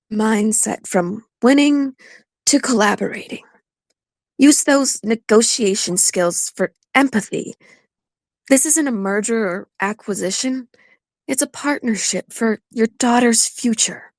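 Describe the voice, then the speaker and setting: A woman playing a composed, pragmatic divorce attorney in an audio play, speaking in a measured, firm and reassuring way. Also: on the high side, dry recording. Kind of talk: community theatre audio play acting.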